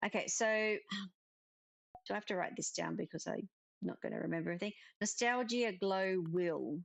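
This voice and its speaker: A woman's voice: mid-range voice, moderate pace, creaky. A woman giving casual first-look game commentary, muttering to herself as she reads. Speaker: game player reading dialogue aloud. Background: game music.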